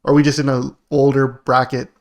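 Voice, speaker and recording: A man's voice: medium pitch, flat delivery, a little fast. A man co-hosting a podcast, chatting casually about politics in a slangy, joking way. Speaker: podcast co-host.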